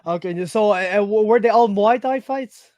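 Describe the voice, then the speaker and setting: A man's voice: sits high, normal speed, a little mellow, no echo. A man from Quebec with a Quebec French accent, hosting a casual interview, chatty and friendly. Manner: friendly, chatty, says "my man", rambling questions. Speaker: interviewer, host.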